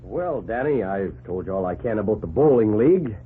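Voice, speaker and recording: Man as guarded male worker, lowish animated voice, plain-spoken, 1940s radio drama, noisy narrow recording. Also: a little fast, close to an everyday voice. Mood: casual, skeptical.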